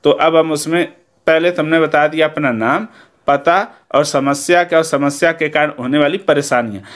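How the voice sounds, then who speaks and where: An Indian man speaks as a teacher in a slightly high voice. He explains things methodically, one step after another. He often pauses on a short check-in word before moving to the next point. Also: fairly even intonation, fairly bright tone. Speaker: Hindi teacher. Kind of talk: instructional lesson, explaining step by step.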